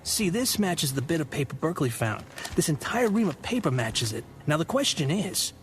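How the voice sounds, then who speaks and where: A man's voice: a little high, very animated, normal speed, slight background noise. A man playing a patient, sardonic detective in an audio drama, dry and explanatory. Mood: reasoning.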